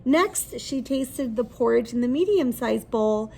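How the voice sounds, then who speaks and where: High-pitched, creaky woman reading a children's story. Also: lively intonation, a little slow, a little mellow. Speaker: read-aloud storyteller. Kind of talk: expressive children's story reading with questions to listeners.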